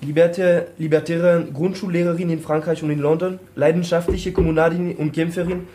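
A man's voice: on the high side, little pitch movement, normal speed, dark tone. A non-native German-speaking man presenting a book and reading its preface, searching for words with many fillers. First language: not German. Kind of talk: halting reading aloud.

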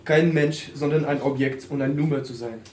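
A man's voice: medium pitch, steady tone, normal speed, a little mellow. Man reading aloud, roomy sound. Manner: occasional stumbles over words. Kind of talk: alternating reading of a text. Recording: roomy with faint hiss.

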